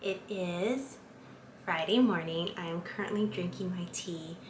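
A woman's voice: lowish voice, measured pace. A young woman vlogging at home, chatting casually to the camera with many fillers, recorded in her kitchen. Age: young adult. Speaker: lifestyle vlogger. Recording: echoey room with clear background noise.

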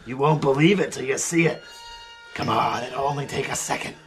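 A man's voice: medium pitch, a little fast, faint music behind, lightly acted. A man playing a possessed young killer in a horror audio drama, gleeful and mocking, with sing-song taunts. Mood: eerily casual. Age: young adult.

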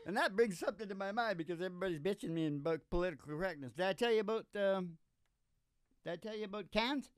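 A man's voice: sits high, fast, smooth, no room sound, clean studio sound. An older man hosting in casual, joking, folksy banter that rambles along at a stand microphone. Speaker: podcast host and musician. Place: indoor small curtained stage studio.

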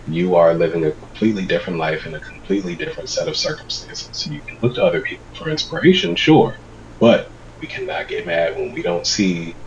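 Male guest, very low voice, a bit stuffy, dull webcam audio, calm advice-giving tone. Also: slight background noise. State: congested from a cold. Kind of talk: casual video call chat. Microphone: video call webcam.

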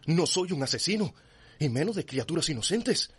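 An actor playing an anxious, principled doctor in a radio drama, formal and protesting, with a Cuban accent and husky voice. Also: male voice, fairly high pitch, very animated, moderate pace, a bit bright, heavily acted. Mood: indignant protest.

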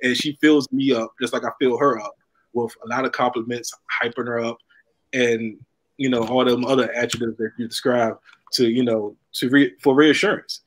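A man's voice: mid-range voice, steady tone, moderate pace, a bit bright, rough recording. A man chatting casually as a guest on a video-call panel, rambling and repeating phrases for emphasis. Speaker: talk show guest, comedian.

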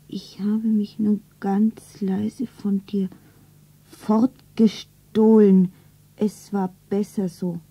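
An actress playing a grieving, tearful young shop girl in a 1970s radio drama, breathy, theatrical and often whispering. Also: mid-range voice, mellow, no echo, exaggerated voice. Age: young adult. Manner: slow, theatrical, self-narrating. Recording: dull radio play recording.

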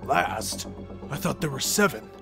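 A man's voice: medium pitch, big pitch swings, unhurried, gravelly, over music, full character voice. A man playing a brash, blunt, competitive red echidna fighter in a comic dub. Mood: grumpy, sceptical.

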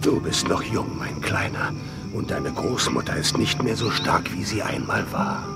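An old, ailing dinosaur grandfather in a children's radio play. The voice is low and very gravelly, swinging expressively as he pleads tenderly, with music behind him. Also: sing-song, unhurried, exaggerated voice. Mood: weak, pleading. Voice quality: gravelly, weakened. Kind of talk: children's audio drama acting.

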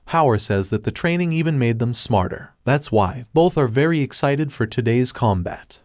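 A man reading scripted story recap narration in a steady, plain storytelling way. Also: mid-range voice, no echo, studio quality.

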